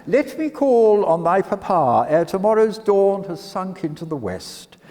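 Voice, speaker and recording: A man's voice: high voice, sing-song, unhurried, a little mellow, pitched above the performer's own voice. A man giving a dramatic reading as an earnest young Victorian suitor, flowery, declamatory and respectfully courteous. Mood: earnest, genteel. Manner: florid, declamatory, formally courteous. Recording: church hall with long reverb.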